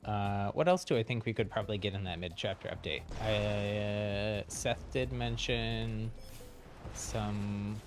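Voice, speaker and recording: A man's voice: on the low side, steady tone, unhurried, over music. A man doing casual live gameplay commentary as a streamer, chatty and hesitant as he thinks aloud. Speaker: game streamer.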